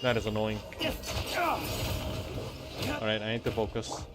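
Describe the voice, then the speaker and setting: A man's voice: lowish voice, wildly swooping pitch, slow pace. A man giving casual gameplay commentary, muttering his reactions to himself as he plays. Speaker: gaming video creator. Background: video game music.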